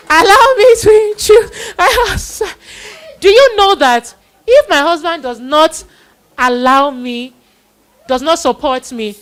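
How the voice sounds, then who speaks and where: A woman with a high voice hosts a live children's church event. She speaks as a middle-aged master of ceremonies, repeating phrases as she introduces people and urges them on. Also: fairly bright tone. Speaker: host of a children's Bible play event.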